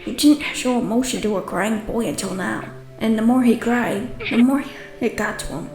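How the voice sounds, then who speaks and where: A woman's voice: on the high side, moderate pace, gravelly. A woman reading scripted story narration for a manga dub. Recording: roomy echo, music behind.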